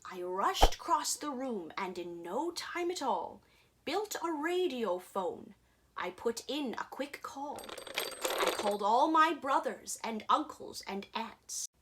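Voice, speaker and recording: A woman performer reading a rhyming storybook aloud in an expressive, dramatic, theatrical way. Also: a little high, slow pace, noisy background.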